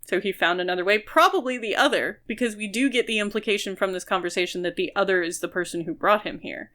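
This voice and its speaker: A woman's voice: medium pitch, fast, pushed and bright. Analytical woman podcast co-host. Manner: analytical, explains at length. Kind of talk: casual book discussion chat.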